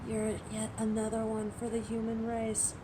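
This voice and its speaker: A woman's voice: fairly high pitch, monotone, moderate pace, noisy background, close to an everyday voice. A woman voicing a commanding, exasperated and ruthless female alpha werewolf in a dramatic roleplay audio, imperious and sardonic. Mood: cold, menacing.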